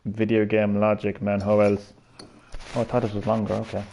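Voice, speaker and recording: A man's voice: lowish voice, monotone, moderate pace, creaky, warm tone, a little hiss or hum. Joking Cork Irishman in his thirties. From Ireland. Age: 30s. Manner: chatty, jokey, talks to chat. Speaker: live streamer. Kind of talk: live gameplay commentary.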